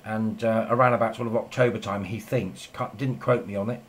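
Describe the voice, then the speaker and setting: A man's voice: fairly low pitch, fairly even intonation, fairly quick. A man chatting casually and enthusiastically over amateur radio in a rambling way. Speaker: amateur radio operator, video maker. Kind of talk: casual two-way radio chat. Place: home radio shack. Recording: clear background noise in room.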